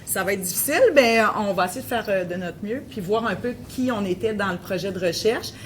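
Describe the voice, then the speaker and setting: Québécois woman presenting at a conference, lots of euhs and restarts, medium pitch, slight hum underneath. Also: brisk. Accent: Québécois French. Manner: hesitant, many fillers and restarts. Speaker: principal researcher presenting. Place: conference room.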